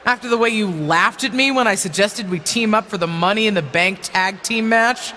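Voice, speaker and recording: A woman's voice: medium pitch, moderate pace, sharp tone, a little hiss or hum, close to an everyday voice. A woman playing a bitter, scheming older wrestling legend in a video game, taunting and condescending like a promo. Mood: resentful, accusing. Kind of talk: dramatic wrestling promo acting.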